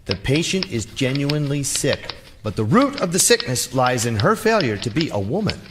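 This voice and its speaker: A man's voice: mid-range voice, very animated, moderate pace, natural voice. An actor playing a pompous, coldly certain medical man, lecturing clinically and emphatically in a live radio drama. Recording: live theater recording with clear background noise.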